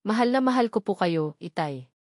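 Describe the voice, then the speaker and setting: A woman voicing a young woman who speaks politely to her father and forces her voice to stay steady in an audio drama. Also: medium pitch, normal speed, no room sound, clean studio sound, close to an everyday voice. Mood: tender. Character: young woman fleeing home, brave and tender.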